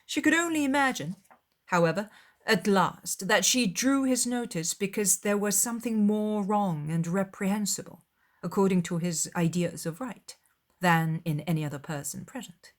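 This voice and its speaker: A woman reading scripted literary narration as an audiobook narrator, in a formal, measured storytelling manner. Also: medium pitch.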